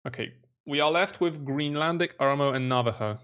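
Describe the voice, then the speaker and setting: A man's voice: on the high side, a little fast, fairly bright tone, clear, resonant tone. A young man hosting his own channel, thinking aloud as he works through a language quiz and spelling out letters while he guesses.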